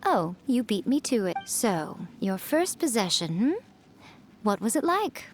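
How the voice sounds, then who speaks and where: A woman's voice: mid-range voice, sing-song. A centuries-old fox spirit, elegant and sly, in a mid-range voice full of lilting swings. She teases with coy, smug relish in anime game style, over faint ambient noise. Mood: sly, teasing. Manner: coy, smug, drawn-out teasing.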